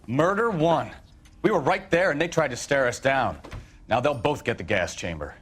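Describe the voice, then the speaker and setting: A blunt American cop in a 1940s crime game, voiced by an actor. He sounds wry and eager, with a very mobile pitch and faint ambient noise. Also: animated, moderate pace, fairly bright tone, close to an everyday voice. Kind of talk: dramatic video game acting.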